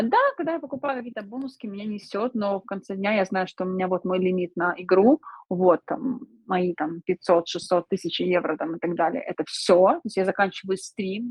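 A woman speaking as a streamer guest in a relaxed interview, chatty, laughing often and filling pauses with hesitations. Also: mid-range voice, no room sound, poor audio. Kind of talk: casual interview chat.

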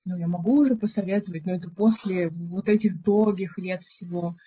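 A young woman co-hosting a podcast, telling stories in a chatty, relaxed way with lots of filler words. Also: on the low side, fairly quick, slight background noise. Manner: chatty storyteller, many fillers. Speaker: podcast co-host. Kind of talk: casual chat. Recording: dull, muffled recording.

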